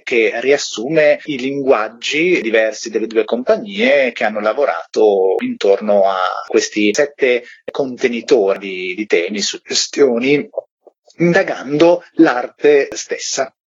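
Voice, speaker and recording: Guy explaining his theatre work on radio, husky voice, kinda dull lo-fi sound. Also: mid-range voice. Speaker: theatre company member, interview guest. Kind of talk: radio interview, explaining a project.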